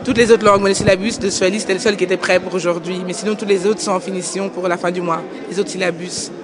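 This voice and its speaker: A woman's voice: lowish voice, fairly even intonation, quick pace. A young woman from Belgium, co-founder of a language school, answering interview questions in an earnest, articulate way. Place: indoor book fair hall. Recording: busy crowd chatter behind.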